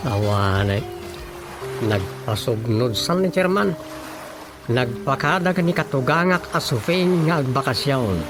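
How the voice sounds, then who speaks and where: A man's voice: medium pitch, lively intonation, measured pace, over loud music, lightly acted. A man playing a wry village councilman in a comic radio drama, with a teasing, folksy manner. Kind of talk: radio drama comedy acting.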